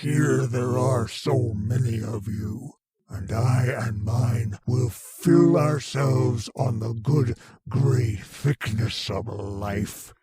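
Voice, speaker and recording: A man playing a cold, commanding alien monster hungry for human brains, in old-time radio horror style, slow and deliberate in his menace. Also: medium pitch, warm tone. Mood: gloating, hungry.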